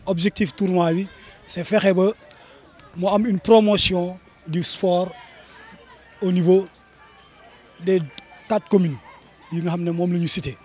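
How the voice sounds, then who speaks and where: A man's voice: high voice, little pitch movement, unhurried, pauses often, darkish tone, breathy edge, some chatter behind. A man in his thirties with a Senegalese accent, speaking as an association official in an outdoor interview. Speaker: association vice-president. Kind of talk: on-camera interview. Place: outdoors on a sandy football pitch. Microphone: handheld reporter microphone.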